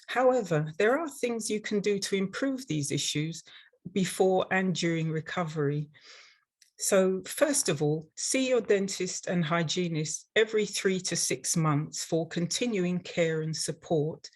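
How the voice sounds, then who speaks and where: An older woman from London with an English accent, giving a prepared webinar presentation in a measured, instructional way as a dental professional. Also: lowish voice, expressive. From London, England.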